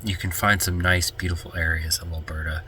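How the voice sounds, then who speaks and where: A man's voice: deep voice, steady tone, brisk, pushed and bright, slight background noise, low-quality recording. A man with a stuffy, congested nose, chatting casually and rambling as he vlogs from his van life travels. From Canada. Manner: chatty, rambling, easily sidetracked. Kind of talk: casual vlog chat to camera.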